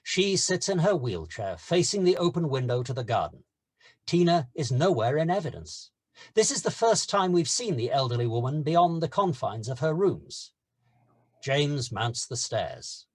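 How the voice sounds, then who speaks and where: A man narrating the stage directions of a screenplay at a table read, steady and descriptive. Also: on the high side, moderate pace, breathy edge, dry recording, clean studio sound.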